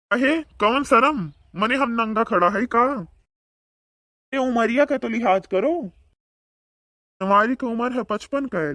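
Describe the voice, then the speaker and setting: A man's voice: high voice, bright, forceful tone, no echo, clean studio sound. A man voicing a boastful, bickering village man in a comedy cartoon, speaking with an Awadhi accent and taunting banter. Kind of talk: comedic cartoon dialogue in rural dialect.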